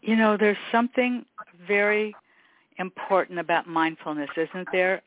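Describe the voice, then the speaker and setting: A woman hosting her own podcast, chatting warmly with a guest in an upbeat, talkative way. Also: medium pitch, measured pace, slight background noise. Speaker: podcast host. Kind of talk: interview with scripted intro. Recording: thin phone-like sound, dry.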